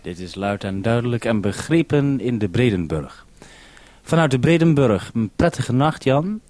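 A man presenting on 1970s radio, making a formal call in a businesslike, measured manner. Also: medium pitch, animated, darkish tone, no room sound.

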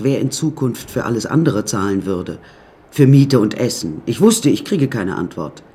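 A woman's voice: extremely low pitch, heavily acted. An actress playing a wry woman who recounts her death-strewn childhood in a radio play, dry and confiding. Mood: dry, ominous. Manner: dry, darkly comic, confiding.

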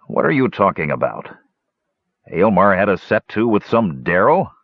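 A man voicing a hot-tempered male hill dwarf who mutters and grumbles in a low voice. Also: sing-song, normal speed, no room sound, heavily acted. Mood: angry. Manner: gruff, muttering. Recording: dull lo-fi dry recording.

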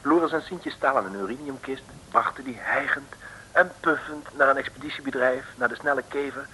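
A man's voice: medium pitch, moderate pace, pushed and bright, a little hiss or hum, poor audio. A man narrating a scripted radio serial in a wry, chatty storytelling manner, on a vintage recording.

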